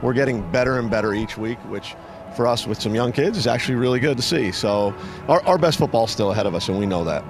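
A man's voice: mid-range voice, expressive, over music. A middle-aged man, a head football coach, answering postgame questions outdoors on a field, packing his answers with "you know, I mean.". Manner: rapid, filler-heavy with "you know, I mean". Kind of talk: postgame interview. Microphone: handheld reporter mic.

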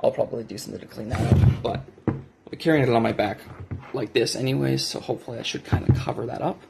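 A man's voice: mid-range voice, fairly even intonation, fast, creaky, heavy background noise. A man giving a casual, unscripted show-and-tell as a hobbyist, hesitant and correcting himself, recorded on a handheld cell phone indoors at a desk. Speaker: hobbyist video maker.

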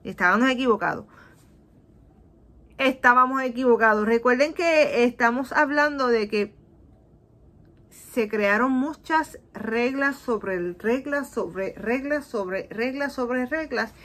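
A woman's voice: a little high, slow. A woman from Puerto Rico in her thirties with a Puerto Rican Spanish accent, teaching a Bible lesson to children over a webcam, acting out voices and slipping English in. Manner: animated, acts out voices of people, asks "¿ok?" often. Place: small indoor room. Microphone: webcam on a video call.

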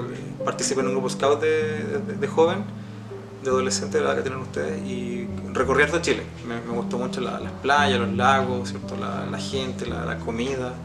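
A man's voice: mid-range voice, fairly even intonation, moderate pace, creaky. A man in his thirties from Argentina, a language teacher, answering casually and repeating words as he searches for them. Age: 30s. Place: room with bookshelves. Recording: roomy sound with loud guitar music.

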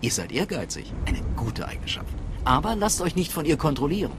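A man's voice: on the high side, very animated, brisk, noisy background. A man playing an affable, shrewd major in a video game, with an informal, conversational manner. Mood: affable, conspiratorial. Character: affable, shrewd military major in secret order. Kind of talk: video game cutscene acting.

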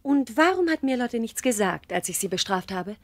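An actress playing a stern, fussy, indignant schoolmistress in a radio play, speaking formally and reproachfully. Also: female voice, on the high side, lively intonation, normal speed, no room sound. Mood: taken aback. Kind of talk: German radio play acting.

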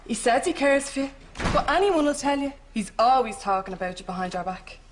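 High-voiced two-faced Irish gossip played by a woman. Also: expressive, a little fast, background noise, natural voice. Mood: gossipy, bitchy. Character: two-faced, gossipy, fawning female salon trainee. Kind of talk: broad sitcom acting.

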